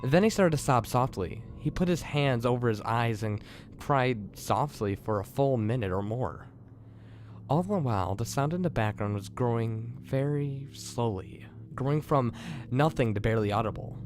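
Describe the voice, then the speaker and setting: A man's voice: mid-range voice, animated, moderate pace, a little mellow, slight background noise. A man hosting and narrating a scripted creepypasta horror story. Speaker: host and narrator.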